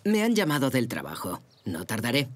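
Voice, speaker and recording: A man's voice: medium pitch, wildly swooping pitch, normal speed. A man playing an obliging, reassuring father zebra in a children's cartoon dub. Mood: helpful, reassuring.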